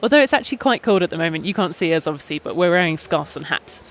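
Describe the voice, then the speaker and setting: A British man hosting a podcast outdoors, talking in a measured, articulate way with small hesitations. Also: fairly high pitch, expressive, fairly bright tone. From Britain. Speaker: podcast host and journalist. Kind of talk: casual podcast talk. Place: outdoors in a busy town square.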